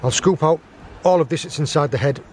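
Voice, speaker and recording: A man's voice: a little high, brisk, a little mellow, slight background noise. Man explaining on a rocky beach. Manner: practical, explains step by step while working with his hands. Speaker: guest forager demonstrating cooking. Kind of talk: casual outdoor how-to explanation.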